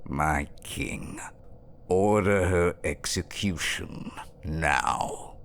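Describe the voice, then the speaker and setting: A pompous villainous duke, in a fantasy audio play. His low voice swoops theatrically and takes its time over every sneering, smooth-tongued phrase. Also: lively intonation, a bit bright, slight background noise, full character voice. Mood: coldly urging. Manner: pompous, theatrical, drawn-out taunting. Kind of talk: fantasy audio drama acting.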